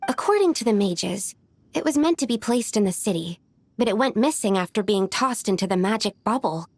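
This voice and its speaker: Casting: earnest, slightly shy young female scholar in a fantasy game, medium pitch, courteous delivery. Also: expressive, a little fast, no echo. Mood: earnest, explaining. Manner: polite, earnest, explanatory. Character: earnest, polite young female alchemist, mildly timid. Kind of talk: video game voice acting.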